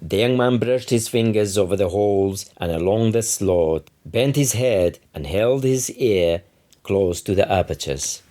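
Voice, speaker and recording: A man reading literary fiction aloud as its author, with measured, deliberate phrasing. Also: lowish voice, mellow. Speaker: author reading own novel. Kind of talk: literary audiobook narration.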